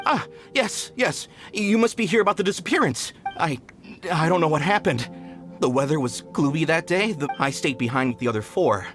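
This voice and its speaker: An actor playing a shaken, fearful mine worker in a video game, hesitant and stammering. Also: male voice, a little high, expressive, moderate pace, a bit bright. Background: game music.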